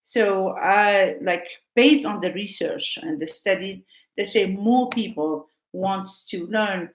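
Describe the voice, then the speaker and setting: A woman's voice: medium pitch, slow, echoey room. A woman teacher from Africa, talking casually and hesitantly, with many fillers and restarts. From North Africa. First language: Arabic. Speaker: Arabic teacher. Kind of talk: casual live talk.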